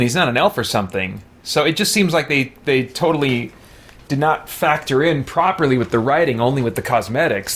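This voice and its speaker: A man's voice: on the high side, a bit bright. Casual man musing over a video game. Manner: chuckling, hesitant, musing aloud. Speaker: video game player and commentator. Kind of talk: casual let's-play commentary.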